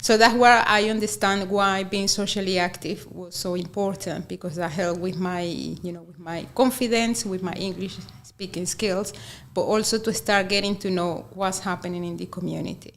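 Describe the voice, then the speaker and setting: A woman's voice: medium pitch, steady tone, normal speed, few pauses, a bit bright, a little hiss or hum. A woman from Venezuela with a Venezuelan Spanish accent, a radio interview guest who works on a community sports program. Her first language is Spanish, and she talks earnestly, repeating words while she searches for them.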